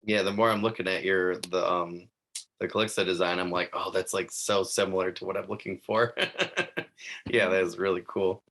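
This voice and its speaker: Low-voiced enthusiastic man hosting. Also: flat delivery, moderate pace, fairly bright tone. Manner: enthusiastic, laughs often, says "super cool". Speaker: podcast host. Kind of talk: casual interview chat.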